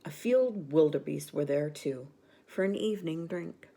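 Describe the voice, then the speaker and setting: A woman narrates a picture book for children at bedtime. Her voice sits low and has a warm, rounded tone, and she tells the story expressively. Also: animated, a little slow, creaky.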